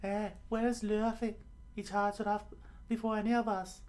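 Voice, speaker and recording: A woman's voice: medium pitch, flat delivery, moderate pace, a little mellow, voice pushed up, close to an everyday voice. A woman voicing a hot-tempered, greedy young female thief who scolds indignantly in an adventure story. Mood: urgent.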